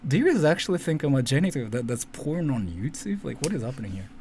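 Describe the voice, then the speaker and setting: A man's voice: mid-range voice, sing-song, fast talker, darkish tone. A man giving casual, rambling, sweary reaction commentary, often repeating himself. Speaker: commentary streamer. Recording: faint background noise.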